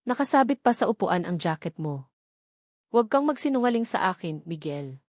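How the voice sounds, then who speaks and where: A distraught young woman in a romance story , as a woman narrator plays her. She pleads desperately and haltingly, in a dry, close recording. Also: fairly low pitch, very animated, darkish tone, no echo. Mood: desperate, insistent. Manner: stammering, desperate.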